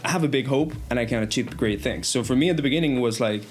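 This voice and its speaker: A man's voice: mid-range voice, fast talker, faint music behind. A man from Colombia with a light Colombian accent, speaking Spanish as his first language, talking earnestly as a podcast guest and often repeating words as he builds his thoughts. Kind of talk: casual conversation.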